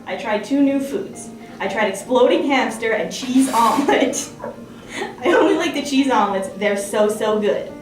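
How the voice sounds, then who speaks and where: A woman's voice: fairly high pitch, lively intonation, normal speed. A woman reading a child's short letter aloud. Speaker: staff member reading aloud. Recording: echoey room, distant mic, music behind. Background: music.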